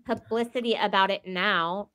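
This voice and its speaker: A woman's voice: medium pitch, fairly even intonation, moderate pace, a bit bright, clear, resonant tone, rough recording. A woman panelist chatting informally on a live stream. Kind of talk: casual chat.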